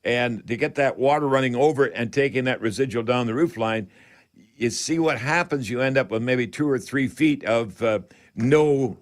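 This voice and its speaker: A man hosting a radio call-in show, giving home improvement advice in a halting, folksy, rambling way. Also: mid-range voice. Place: radio studio.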